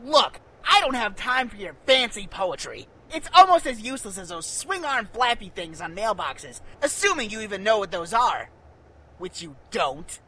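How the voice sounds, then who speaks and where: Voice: mid-range voice, very animated, moderate pace, bright, forceful tone, rough recording. An actor playing a foul-mouthed, hot-tempered young troll programmer in a fan radio play, ranting with exasperated sarcasm. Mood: sarcastic ranting. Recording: music behind the voice.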